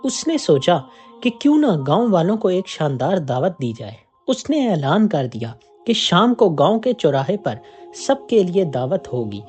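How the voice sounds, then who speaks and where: Lively storyteller with a bright voice. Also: mid-range voice, a little slow, faint music behind. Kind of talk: scripted narration of a moral folk tale.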